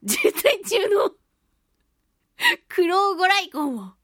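Very high, animated woman radio host. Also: extremely slow, no room sound, clean studio sound. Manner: playful, exclamatory, giggly, expressive interjections. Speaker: radio show host, voice actress. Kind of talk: chatty solo radio talk, reading and answering listener mail.

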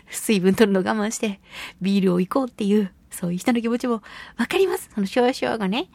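A woman hosting a casual solo radio talk and reading listener mail, chatty, giggly and expressive, with a slightly breathy voice. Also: medium pitch, fast talker, no room sound. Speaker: radio show host and voice actress.

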